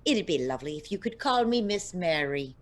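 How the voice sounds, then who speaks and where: A woman playing a vain, easily flattered diva with a dramatic, affected way of talking in a radio drama. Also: fairly low pitch, brisk, close to an everyday voice. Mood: wistful, flattered.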